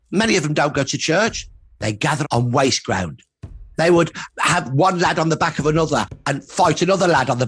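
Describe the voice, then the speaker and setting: A man's voice: on the high side, husky. A man speaking as an expert historian, explaining emphatically with rhetorical repetition. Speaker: expert interviewee, social historian. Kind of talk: explanatory interview commentary.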